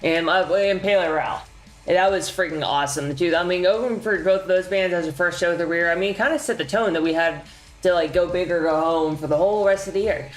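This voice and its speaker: A young man in a band giving a casual year-end update, rambling and excited, full of fillers and slang. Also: high-pitched, quick pace. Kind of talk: casual chat. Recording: echoey room with faint music.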